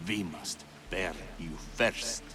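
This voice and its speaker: An actor playing a cold, grim, fanatical hunter villain in a video game, speaking in a deliberate, ominous way. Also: male voice, low-pitched, noisy background. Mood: cold menace. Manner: slow, deliberate, ominous delivery. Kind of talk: dramatic video game acting.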